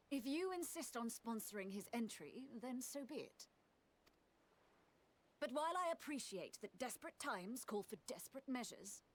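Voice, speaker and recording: An actress playing an officious female immigration official in a fantasy game, speaking in a formal, measured, reproving way. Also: fairly high pitch. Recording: orchestral music behind the voice. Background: game music.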